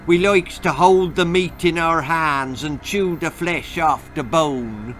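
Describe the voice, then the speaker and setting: A man's voice: a little high, slow pace, a bit bright, pitched above the performer's own voice. A man voicing a sly, scruffy little leprechaun with a folksy Irish brogue in a children's story. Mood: menacing.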